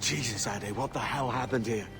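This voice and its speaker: An actor playing a rugged, regretful pirate captain in a video game, speaking bluntly and earnestly. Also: male voice, mid-range voice, brisk, over music, natural voice. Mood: remorseful, reflective. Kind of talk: dramatic video game acting.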